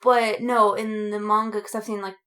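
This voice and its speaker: A woman's voice: medium pitch, flat delivery, normal speed, fairly bright tone. A woman podcast co-host in a casual chat, talking in a giggly, gushing fangirl way. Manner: giggly, gushing fan enthusiasm. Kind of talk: casual chat about anime and fandom.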